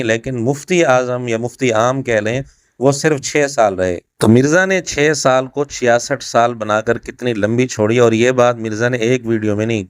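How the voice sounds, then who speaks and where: Guy doing a religious rebuttal commentary, crisp clean voice, dry sound, methodical and emphatic, repeats himself. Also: mid-range voice, no room sound. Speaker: religious scholar rebutting a video. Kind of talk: explanatory rebuttal commentary to camera.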